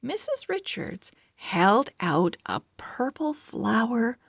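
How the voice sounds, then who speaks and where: A woman reading a children's storybook aloud as host, with deliberate, expressive phrasing for young readers. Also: a little high, vocal fry, husky, no room sound, clean studio sound. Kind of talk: slow read-along storybook narration.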